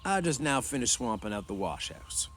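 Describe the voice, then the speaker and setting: A man's voice: medium pitch, very animated, normal speed, a bit bright, slight background noise. A man voicing a meek, uneasy old ranch swamper in a storybook read-aloud, talking in a folksy, placating way. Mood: defensive, uneasy.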